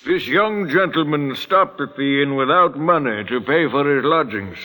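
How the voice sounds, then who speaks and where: An actor playing a calm, authoritative judge in a 1940s radio drama, formal and deliberate. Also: male voice, fairly high pitch. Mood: commanding, assured. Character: authoritative, calmly reasoning English judge. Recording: reverberant old radio drama recording.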